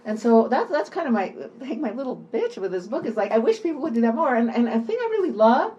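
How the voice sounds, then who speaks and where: A woman's voice: fairly high pitch, sing-song, fast talker, dark tone, highs cut off. An older woman speaking off the cuff as an author at a book talk, informal and digressive, stressing and repeating her words. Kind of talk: unscripted conversational book talk.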